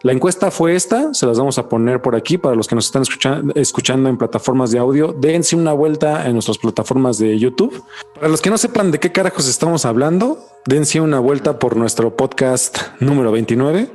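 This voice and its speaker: A man's voice: medium pitch, expressive, a little fast, a little mellow. Male podcast host, medium register, presenter delivery with casual touches, light music bed. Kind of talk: podcast presenting, scripted intros and casual chat.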